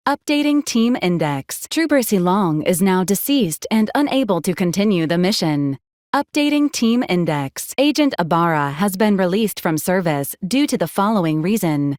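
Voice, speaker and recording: Deadpan, officious female robot assistant. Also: medium pitch, dry recording, clean studio sound. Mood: flatly procedural. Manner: formal, clipped, deadpan, procedural announcements. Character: dutiful, literal-minded female robot ship's assistant, coolly officious. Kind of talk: scripted video game voice acting.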